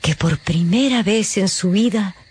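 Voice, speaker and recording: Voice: mid-range voice, measured pace, darkish tone, a little hiss or hum. A narrator telling a radio novel in a scripted, dramatic style.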